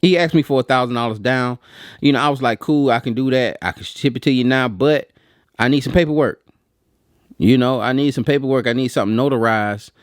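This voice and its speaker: A man's voice: mid-range voice, fairly quick, dry recording, studio quality. A man talking casually and slangily to his viewers, telling a rambling story and acting out bits of dialogue. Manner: slangy, conversational, acts out quoted dialogue. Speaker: print shop owner telling a story to viewers.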